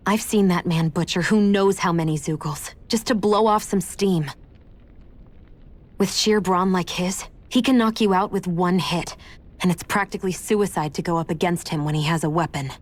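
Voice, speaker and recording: A woman's voice: lowish voice, moderate pace, natural voice. An actress playing a prickly, haughty young woman fighter in a fantasy video game, curt and aloof. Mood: stern warning. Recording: game music under the voice.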